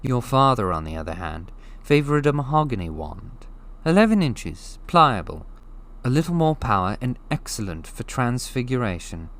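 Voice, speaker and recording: An eerie old wandmaker speaks in a soft, drawn-out, deliberate way, as a man puts him on. His voice sits higher than the narration around it, with a slow and piercing tone. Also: very animated. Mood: musing.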